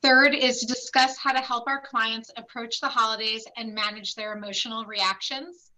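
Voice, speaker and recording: A woman, a clinical site director, giving a clear, explanatory presentation over a video call. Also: fairly high pitch, steady tone, moderate pace, sharp tone, low-quality recording.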